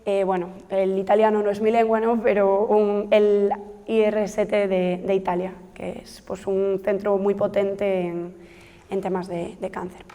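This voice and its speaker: A woman's voice: medium pitch, level tone, unhurried, warm tone. A woman from explaining a technical project to trainees, with frequent fillers and self-corrections. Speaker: researcher presenting a project. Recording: long hall reverb.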